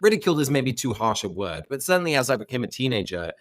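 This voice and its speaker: A man's voice: mid-range voice, big pitch swings, fast talker. Thoughtful Englishman with a Dutch upbringing. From England. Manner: thoughtful, self-correcting, friendly. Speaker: podcast guest. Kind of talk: conversational interview.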